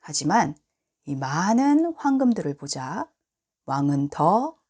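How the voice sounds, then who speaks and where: A woman reading a children's storybook aloud in an expressive, deliberate way. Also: low-pitched. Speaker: storybook reader.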